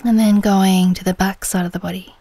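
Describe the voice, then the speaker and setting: Female meditation guide: dark, relaxed timbre, soothing unhurried delivery, soft breathy phrase endings, very dry recording. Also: mid-range voice, no room sound. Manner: gentle, soothing, unhurried with long pauses.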